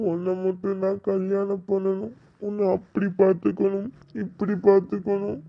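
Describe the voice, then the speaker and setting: A man playing a heartbroken young man who pours out his grief slowly, his gravelly voice dragging over every word. He pleads and repeats himself with anguish, heard through a slightly dull, lo-fi recording. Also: high voice. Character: heartbroken young man lamenting lost love. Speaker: heartbroken lover. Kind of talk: dramatic monologue.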